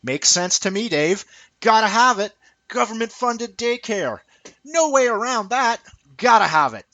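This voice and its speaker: A man's voice: sits high, big pitch swings, unhurried, pushed and bright, no room sound, voice pushed up, exaggerated voice. A man voicing an eagerly agreeable husband who parrots slogans in a sing-song way, in a satirical reading. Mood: mocking agreement. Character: eagerly nodding, parroting pro-daycare husband, meekly agreeable.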